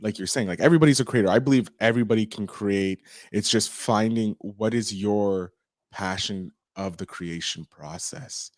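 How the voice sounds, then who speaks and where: Man, podcast host, low voice, rambling casual talk, dry sound. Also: normal speed, no room sound. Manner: animated, rambling, frequent "like" fillers. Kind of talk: casual conversational interview.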